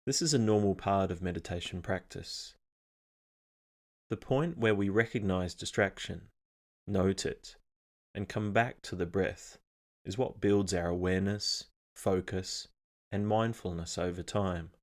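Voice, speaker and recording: A man leading a scripted guided meditation in a calm, measured, instructional manner. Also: low-pitched.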